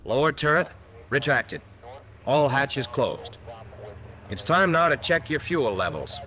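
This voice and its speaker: An American man narrating a scripted 1940s instructional film in a crisp, methodical manner, on a vintage film soundtrack. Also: medium pitch, big pitch swings, a little slow, bright, forceful tone.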